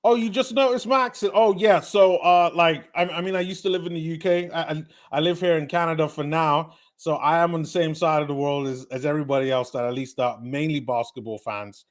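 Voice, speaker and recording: Man, British accent with North American blend, somewhat high voice, chatty live game commentary, lots of fillers. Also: brisk, few pauses. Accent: British mixed with North American. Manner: chatty, filler-heavy, surges excitedly on big plays. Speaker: watch-party streamer.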